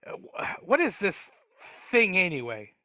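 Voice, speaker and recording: Guy doing a slow bright-voiced sneaky dwarf, stammers and wheedles, dry sound. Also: high-pitched, huge pitch swings, no echo, heavily acted. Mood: hesitant. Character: grumbling sly male dwarf guide. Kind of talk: live dramatic table read over video call. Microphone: video call mic.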